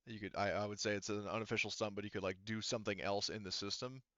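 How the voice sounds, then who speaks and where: Dull-sounding low-voiced man running a game. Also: steady tone, fast, sharp tone, dry recording. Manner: casual, profane, thinking aloud. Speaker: game master. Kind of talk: casual improvised tabletop game narration.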